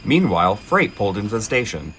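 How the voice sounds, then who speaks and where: A man narrating in a gentle, expressive children's storybook style, with dry asides. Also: on the low side, a little fast, noisy background.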